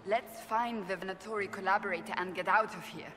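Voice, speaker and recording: A woman's voice: medium pitch, steady tone, a little fast, noisy background, natural voice. A woman playing a stern, scornful warrior in a video game, blunt and sarcastic in her delivery. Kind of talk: video game dialogue acting. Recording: long hall reverb, music behind.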